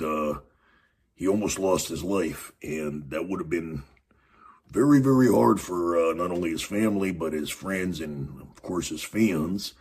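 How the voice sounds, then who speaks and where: A man hosting a podcast, talking casually about wrestling in a rambling way, with a raspy voice and lots of fillers. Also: very deep voice. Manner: rambling, many fillers and restarts. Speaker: podcast host. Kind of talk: casual wrestling commentary monologue.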